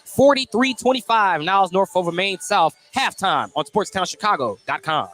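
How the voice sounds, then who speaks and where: A man's voice: high-pitched, expressive, moderate pace, a bit bright, faint music behind. An American man doing live radio play-by-play of a basketball game in clipped, descriptive phrases. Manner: clipped, descriptive running call. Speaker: play-by-play announcer.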